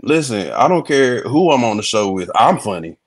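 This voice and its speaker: A man from Texas, a comedian, chatting in a relaxed video-call interview, laughing easily and repeating words as he starts his sentences. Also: mid-range voice, moderate pace, breathy edge. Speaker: comedian and reality show guest. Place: indoor room.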